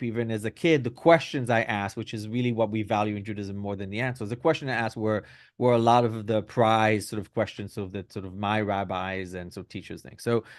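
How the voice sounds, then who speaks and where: A middle-aged rabbi from Belgium and Israel answering interview questions conversationally, hedging with lots of fillers and tag questions. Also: male voice, on the low side, clean tone, no room sound, clean studio sound. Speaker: rabbi, podcast guest.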